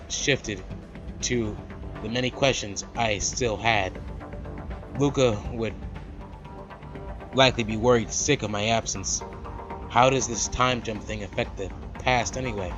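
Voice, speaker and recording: A man's voice: fairly low pitch, steady tone, measured pace, long pauses, lo-fi sound. Guy doing a lets play, reads the story text then chats casually, game music going behind him. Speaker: let's play host. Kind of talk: reading visual novel aloud with casual commentary. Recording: loud background music.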